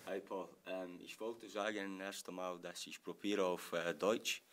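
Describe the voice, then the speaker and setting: A Scottish man whose first language is English, answering press questions as a football coach in halting German with many pauses and fillers. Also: sits low, fairly even intonation, fairly bright tone. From Scotland.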